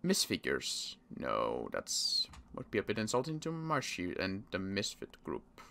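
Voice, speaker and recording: A man playing a worried zombie apocalypse survivor in an improvised game roleplay, muttering to himself and repeating words haltingly. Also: sits low, wildly swooping pitch, vocal fry, sharp tone, full character voice.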